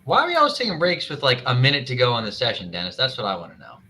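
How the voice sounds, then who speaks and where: A friendly man jokes around with the group between turns of a tabletop game. His voice is bright and forceful and sits at an average pitch. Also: wildly swooping pitch, rapid-fire. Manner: friendly, joking. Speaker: player. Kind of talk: casual chat at a tabletop game.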